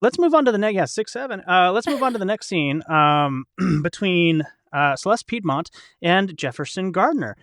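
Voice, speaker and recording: A man's voice: sits high, animated, moderate pace, few pauses, fairly bright tone, dry recording, clean studio sound. A man hosting a casual panel discussion in a chatty, reflective, wordy way. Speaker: podcast host and voice actor.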